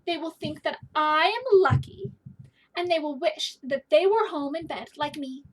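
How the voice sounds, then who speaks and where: A woman's voice: sits high, a little slow, poor audio. A woman voicing an anxious, reluctant storybook character with a moaning, complaining manner. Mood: sulky.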